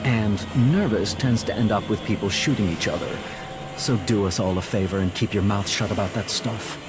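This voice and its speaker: A man's voice: lowish voice, moderate pace, natural voice. A man playing a wary, blunt, pushy outlaw enforcer in a video game. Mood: warning, dismissive. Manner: blunt, profane. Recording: loud music behind.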